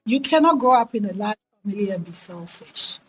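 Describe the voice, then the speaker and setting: A middle-aged woman with a Ghanaian accent, telling her life story as an interview guest in a measured, reflective way with emphatic repetition. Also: mid-range voice, lively intonation, slight background noise.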